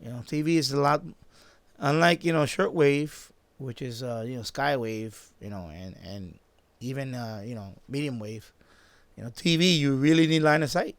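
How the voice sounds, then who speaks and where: A man chatting off the cuff on a live stream, halting and repeating himself as he circles back to his points. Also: medium pitch, no echo. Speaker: live-stream host, radio hobbyist. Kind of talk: casual unscripted live-stream chat.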